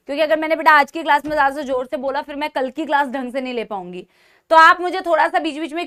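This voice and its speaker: A woman's voice: high voice, fairly bright tone. A woman teaching a live online biology class with a sore throat, speaking Hindi mixed with English, calling her students beta and checking in with "theek hai?" after nearly every point. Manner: mixes English phrases into Hindi speech.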